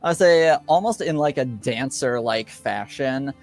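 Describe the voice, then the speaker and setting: Slow, halting man narrating a tabletop game. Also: mid-range voice, lively intonation, darkish tone, faint music behind. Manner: halting, hesitant, frequent long filler pauses. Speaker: game master.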